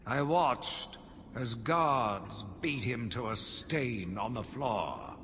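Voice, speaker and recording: A slow, brooding, embittered asylum doctor. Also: male voice, mid-range voice, big pitch swings, full character voice. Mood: bitter. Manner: solemn, measured, brooding, theatrical. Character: embittered male asylum doctor, grief-stricken, zealous, slowly turning vengeful. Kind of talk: dramatic scripted monologue, video game audio log. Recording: long reverberant echo, loud background noise.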